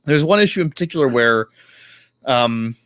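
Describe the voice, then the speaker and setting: A man's voice: mid-range voice, expressive, fairly bright tone, no room sound. Casual man thinking aloud, dry close sound. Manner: halting, thinking aloud with self-corrections and casual swearing. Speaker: podcast guest. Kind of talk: casual chat about comics.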